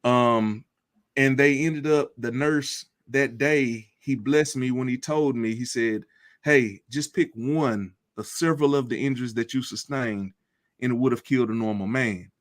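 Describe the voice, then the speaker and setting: A man speaking as an interview guest, telling his story in an earnest, deliberate and reflective way. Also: medium pitch. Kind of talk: personal testimony in an interview.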